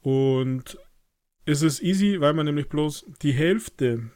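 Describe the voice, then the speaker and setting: A man's voice: fairly high pitch, takes it slowly, darkish tone, no echo. A German-speaking man co-hosting a casual gaming podcast, easygoing and dry in his replies. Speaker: podcast co-host.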